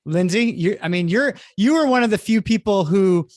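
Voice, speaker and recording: A man with a notably high voice and a dry, close sound hosts a casual video-call panel. He talks in a chatty, encouraging way. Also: lively intonation, moderate pace, a little mellow, no room sound. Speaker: host of a theater livestream panel.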